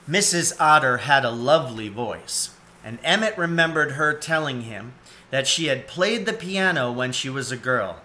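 A man's voice: mid-range voice, lively intonation, moderate pace, sharp tone, a little hiss or hum. A man in his forties reading a children's story aloud as narrator. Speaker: storybook reader. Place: cluttered room at home, indoors.

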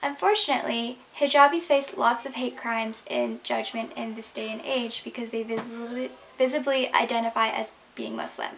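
Young woman from Canada, grew up speaking Urdu, high voice, earnest prepared talk recorded on a webcam. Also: fairly even intonation, moderate pace, few pauses, fairly bright tone, slight background noise. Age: young adult. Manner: earnest and explanatory. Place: bedroom, indoors.

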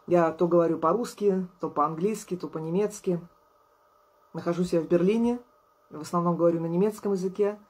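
Woman, 30s, vlogger, weary but friendly casual talk, husky tone, camera at table distance. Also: fairly low pitch. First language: Russian. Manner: tired, chatty, warm to followers, laughs often. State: low on energy. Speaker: artist and musician vlogger. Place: indoors at a table, small room.

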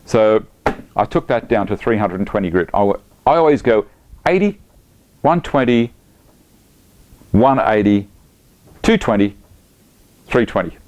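A man's voice: on the low side, lively intonation, unhurried, long pauses, darkish tone, a little hiss or hum. Chatty man in a workshop. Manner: chatty, enthusiastic, talks while working. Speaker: woodworking instructor. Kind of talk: step-by-step workshop tutorial. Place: home woodworking workshop, indoors.

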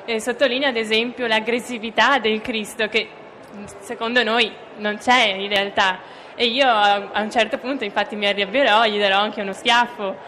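Teenage girl, student actor, earnest, slightly high, faint noise. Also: steady tone, moderate pace, pushed and bright, rough recording. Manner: earnest, laughs shyly. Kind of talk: interview answers.

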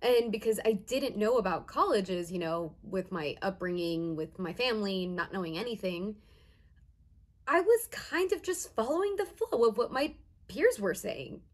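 A young woman playing an earnest first-generation college student in a conversational, emotive dramatized monologue with hesitant pauses. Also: medium pitch, lively intonation, close to an everyday voice. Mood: fond, candid. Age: young adult.

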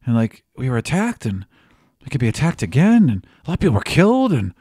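A man's voice: mid-range voice, wildly swooping pitch, dark tone, breathy edge, no room sound, studio quality. Man playing a jittery, stammering young bird-man, eager. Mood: nervous, rattled. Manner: halting, stammering, rambling. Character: anxious, eager, shy young male bird-person.

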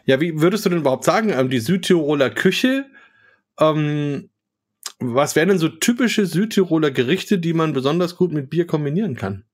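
Male podcast host, relaxed and descriptive, savors each word. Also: fairly high pitch, moderate pace. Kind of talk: beer tasting interview.

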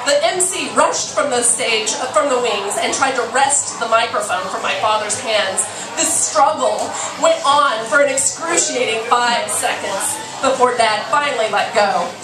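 High-voiced woman storyteller in a roomy venue. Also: unhurried, far from the mic. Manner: theatrical, emphatic delivery with expressive gestures. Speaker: memoir author reading on stage. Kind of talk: live storytelling, reading a memoir excerpt aloud. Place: small dim bar stage, indoors. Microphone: stand microphone, filmed from the audience. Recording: roomy stage PA heard from off, music.

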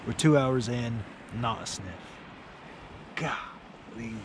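Laid back guy doing a fishing vlog, talks slow, noisy outdoor sound, casual chat to the camera. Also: medium pitch. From United Kingdom. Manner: laid-back, often tags remarks with "man". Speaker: angling vlogger. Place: outdoors on a beach at night, in a small tent.

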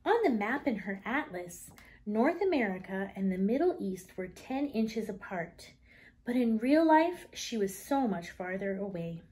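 A woman in her thirties reading a children's picture book aloud in an expressive, deliberate manner. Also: medium pitch.

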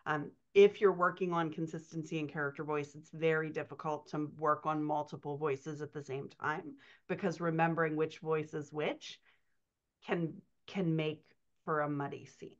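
A woman's voice: low-pitched, fairly even intonation, normal speed. A middle-aged woman explaining things as a writing coach on a conversational podcast, stressing key words, over a video call. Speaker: writing coach answering questions. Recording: dull, muffled video-call audio.

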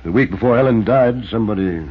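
An uneasy rural husband under suspicion in a 1950s radio play. The voice is rough and fairly low, with folksy, defensive phrasing. The old recording is dull and narrow. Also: male voice, quick pace. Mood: indignant, uneasy. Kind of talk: 1950s radio drama acting.

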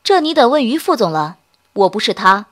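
A woman's voice: on the high side, sing-song, fairly quick, fairly bright tone, voice pushed down. A woman playing a proud, cutting businesswoman. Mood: cool, cutting. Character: sharp-tongued rich young businesswoman, proud and cool.

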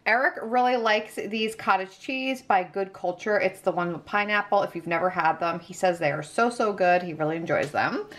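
A woman with a mid-pitched voice hosts a casual home vlog. She talks in a chatty, conversational style, leaning on words for emphasis. She is recorded indoors, speaking from behind the camera. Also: normal speed, hardly any pauses, a bit bright. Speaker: vlog host. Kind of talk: casual grocery haul narration, chatty.

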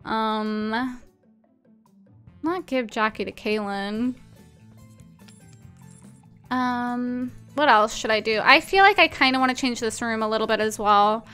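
A young woman with a high, bright voice chats informally on a live game stream. Her speech is casual and peppered with "like," and faint music plays behind her. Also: takes it slowly, pauses often, smooth. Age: 20s. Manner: chatty, informal, frequent "like". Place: small indoor room at home.

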